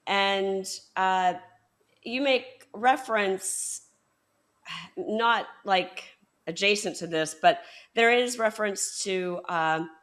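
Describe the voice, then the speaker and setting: A woman hosting a book podcast, building her questions slowly with fillers and hesitations. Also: mid-range voice, fairly bright tone. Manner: measured, hesitant with fillers while framing questions. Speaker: podcast host. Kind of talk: conversational interview.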